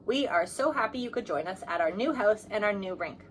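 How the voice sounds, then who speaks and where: A woman's voice: mid-range voice, animated, fairly quick, natural voice. Woman reading as a hearty storybook dad, noisy recording. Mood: upbeat. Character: welcoming, upbeat dad hosting family hockey game. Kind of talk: children's storybook dialogue.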